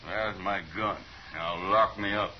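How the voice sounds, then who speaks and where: A man's voice: on the low side, steady tone, unhurried, close to an everyday voice. A man acting a proud, brooding, drunken rancher, blunt and slurred, in a 1950s radio drama. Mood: drunk, grim, insistent. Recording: muffled hissy 1950s radio recording.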